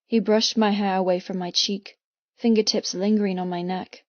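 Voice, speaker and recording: A female audiobook narrator with a medium-pitched voice reads first-person fiction expressively and intensely. The recording is very dry and slightly muffled, with no room around the voice. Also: fairly even intonation, moderate pace, dry recording. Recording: slightly muffled, dry close studio sound.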